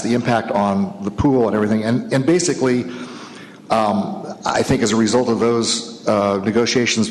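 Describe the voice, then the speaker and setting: A man giving a halting public comment at a hearing, restarting his phrases. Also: fairly low pitch. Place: city council chamber. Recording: echoey council chamber with long reverb.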